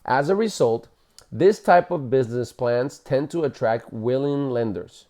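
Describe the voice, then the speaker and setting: A man's voice: medium pitch, a little slow, warm tone. A man reading a book aloud like a parent at bedtime, in an explanatory way with occasional self-corrections. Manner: explanatory, stumbles and corrects himself while reading. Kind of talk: bedtime read-aloud of a book, with casual asides.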